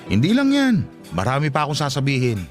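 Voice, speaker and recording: A man's voice: medium pitch, huge pitch swings, brisk. A middle-aged Filipino father in a radio drama, his voice leaping up and down wildly. He is teasing and sure of himself, yet doting, with faint music underneath. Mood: teasing, insistent.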